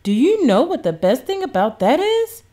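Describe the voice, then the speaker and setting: A woman voicing a reassuring, patient father in a children's storybook, talking with gentle emphasis to his child. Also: sits high, very animated, normal speed. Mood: patient, explaining. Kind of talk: children's storybook read-aloud.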